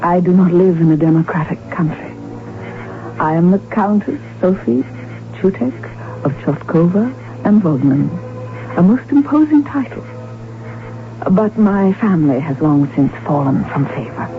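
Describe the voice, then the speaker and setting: A witty formal countess, old radio drama, dull sound with music behind. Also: female voice, on the low side, animated, a little slow, long pauses. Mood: guarded, formal. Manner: formal, arch, precise diction. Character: witty, outspoken countess from an impoverished noble house.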